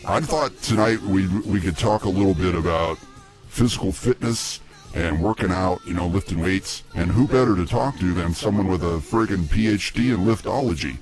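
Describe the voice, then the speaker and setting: A man hosting a comedic talk show in a casual, jokey, halting way. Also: low voice, over music.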